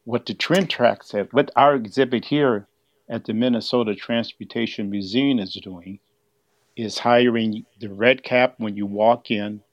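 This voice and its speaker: A man's voice: mid-range voice, no room sound. An older man explaining history as an interview guest, heard over a dull, band-limited line. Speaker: museum exhibit curator, interview guest.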